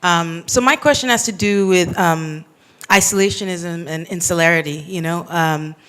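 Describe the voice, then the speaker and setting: A man's voice: sits high, moderate pace, sharp tone, smooth. A man from Kenya asking a long, hesitant question from the audience at a bookstore talk, with many fillers and restarts. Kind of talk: public Q&A question. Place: bookstore event room.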